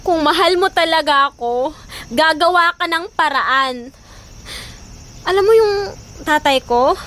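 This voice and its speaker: A woman's voice: high-pitched, animated, measured pace, a bit bright, a little hiss or hum. A woman voice-acting a demanding, vain, pregnant young girlfriend who whines and pouts in a Filipino audio drama. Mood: insistent, boastful. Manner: whiny, pouting, insistent.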